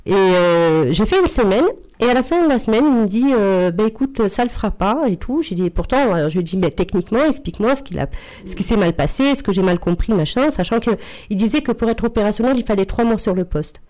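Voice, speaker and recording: A woman's voice: mid-range voice, lively intonation, fast talker, a little mellow. A woman with a strong Marseille accent telling a story bluntly in a casual radio debate. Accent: Marseille French.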